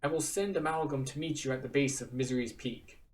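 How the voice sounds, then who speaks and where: A solemn quest-giver from a fantasy game lays out his plan in a grave, measured way. A man voices him at a middle pitch. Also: recorded from a distance, close to an everyday voice. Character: male quest-giver planning against a mind flayer.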